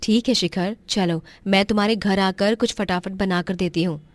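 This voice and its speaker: A woman voicing a kind, resourceful young married woman in a cartoon story, polite and expressive. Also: medium pitch, normal speed, no echo, close to an everyday voice. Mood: helpful.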